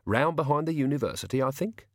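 A man voicing a cheerful, courteous villain in an audiobook, falsely friendly and unhurried in his menace. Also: medium pitch, expressive, no room sound, studio quality, pitched above the performer's own voice, natural voice. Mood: politely menacing. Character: genteel, softly menacing villain, cheerful and polite. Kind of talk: audiobook character voice.

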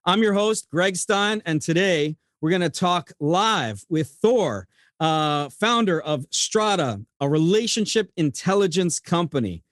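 A man's voice: high-pitched, animated, unhurried, a bit bright, no room sound, studio quality. A middle-aged man hosting a podcast, speaking in a conversational interviewing style. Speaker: podcast host.

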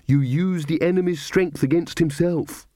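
An earnest young witch, put on by a man narrating a storybook, a touch higher and tenser than the narration. The sound is dry and close. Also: normal speed, warm tone, no echo, close to an everyday voice. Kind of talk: audiobook character voice.

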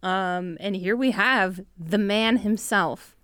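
A woman's voice: medium pitch, takes it slowly, fairly bright tone, clear, resonant tone, no echo, clean studio sound. A woman co-hosting a podcast in casual chat, enthusiastic and playfully teasing with her guest. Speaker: podcast co-host, medical student.

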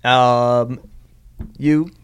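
A man's voice: mid-range voice, steady tone, extremely slow, clear, resonant tone. Casual rambling man hosting a podcast. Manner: rambling, repeats phrases, prone to laughing. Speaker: podcast host. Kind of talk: casual comedic podcast chat.

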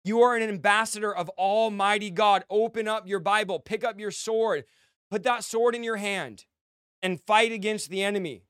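A male American preacher with a high, clear voice delivers a fiery exhortation. He drives it forward in short, emphatic commands, often repeating phrases for force. The sound is dry, with very little room around the voice. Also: normal speed, fairly bright tone, dry recording.

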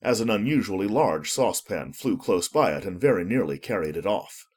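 Animated, husky male storybook narrator. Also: low voice, fairly quick. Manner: expressive storytelling.

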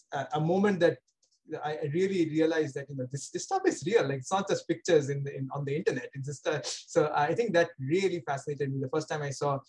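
A man's voice: on the high side, husky, low-quality recording. A young man with an Indian accent, a guest astronomer telling his story in a casual interview, rambling with many fillers and restarts. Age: young adult.

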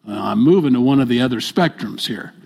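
An older man preaching a midweek sermon in a church, stressing his points by repeating them and moving between loud and soft delivery. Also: mid-range voice, animated, fairly quick, vocal fry, slightly rough. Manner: emphatic, repeats key phrases, swings between loud and soft. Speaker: pastor.

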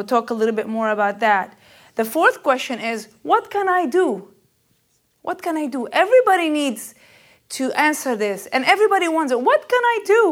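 Earnest woman preacher, rather high voice. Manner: intense and earnest, rising and louder on key questions; hesitant fillers, searching for words. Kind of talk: spoken sermon.

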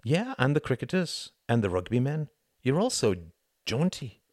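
An earnest young working-class man from a storybook, acted out by a male narrator. He speaks with swooping, animated pitch and a sardonic, passionate edge. His delivery is emphatic and rhetorical, in a dry, close sound. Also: normal speed, no echo. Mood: probing.

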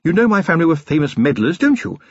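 Man playing a high, swooping genteel wife. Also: sing-song, fast talker, slightly rough, no room sound, dull audio. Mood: brisk, bossy. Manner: emphatic, polite, upper-class phrasing. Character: genteel, forceful aristocratic wife, middle-aged, briskly affectionate. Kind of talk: audiobook character voice.

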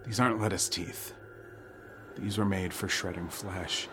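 Low-voiced man narrating horror, noisy ambience behind. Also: steady tone, unhurried. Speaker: storyteller narrating a nightmare. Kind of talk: first-person horror audio drama narration.

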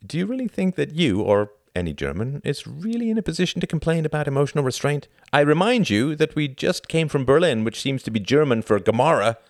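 A man voicing a reserved, quietly troubled young Englishman who speaks in a restrained, polite way. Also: a little high, sing-song, a little fast, few pauses, no room sound, pitched above the performer's own voice. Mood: defensive.

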